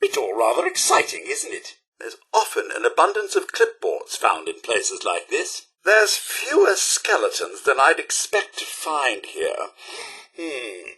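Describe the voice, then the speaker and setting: An actor playing a polite, fussy, wistful robot butler in a video game, with a British accent and a genteel, theatrical manner. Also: male voice, mid-range voice, wildly swooping pitch, a little slow, bright, forceful tone, husky, exaggerated voice, poor audio. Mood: curious, chipper. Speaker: companion character in a video game. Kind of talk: scripted game voice lines.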